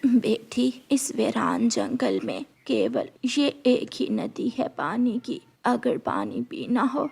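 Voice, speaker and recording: A woman voicing a kindly old mother bird in a cartoon story, speaking softly and gently with a breathy voice. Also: on the high side, sing-song, takes it slowly, few pauses, exaggerated voice. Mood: motherly.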